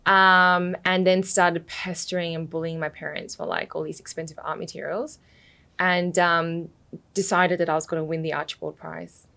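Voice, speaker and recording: A woman's voice: fairly low pitch, flat delivery, moderate pace, gravelly, sharp tone. A woman with an Australian accent touched by British, chatting as a podcast guest, laughing often and leaning on "you know.". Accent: Australian, slightly mixed with British. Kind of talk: casual interview chat.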